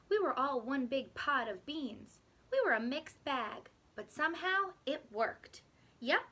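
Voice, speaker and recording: Slow, high-voiced woman reading a storybook. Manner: expressive, deliberate storytelling with dramatic pauses. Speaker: teacher reading aloud. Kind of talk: children's picture book read-aloud.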